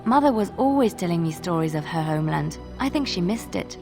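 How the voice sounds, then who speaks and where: An actress playing a gentle, wistful young bard in a video game, with a sincere storytelling manner. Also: female voice, fairly low pitch, animated, a little fast, over music. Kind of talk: video game dialogue acting.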